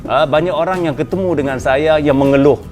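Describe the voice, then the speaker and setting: A man's voice: brisk, a little mellow, soft background music. A man with a Malay accent hosting a casual motivational business talk, chatting with frequent fillers and sudden emphatic rises. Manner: conversational, with frequent fillers and pitch jumps for emphasis. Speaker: host. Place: indoor room with a small group present.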